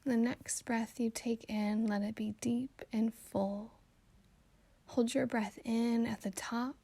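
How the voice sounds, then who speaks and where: A woman guiding a meditation in a calm, soothing, gently instructive way, with soft phrases that trail toward a whisper. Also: fairly high pitch, slow pace, vocal fry, no room sound. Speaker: meditation guide. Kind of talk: slow guided meditation narration.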